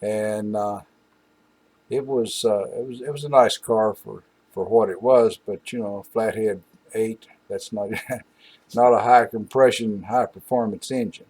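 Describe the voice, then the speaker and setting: Husky older man, folksy and rambling. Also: fairly low pitch, fairly even intonation, darkish tone. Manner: folksy, halting, frequent asides. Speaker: host of an old-time radio show. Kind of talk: casual, rambling reminiscing commentary.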